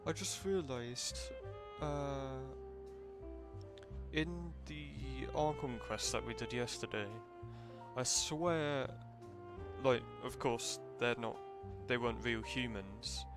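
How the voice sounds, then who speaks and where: A man's voice: mid-range voice, slow. A man giving casual live commentary as a game streamer, rambling as he thinks aloud. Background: game music.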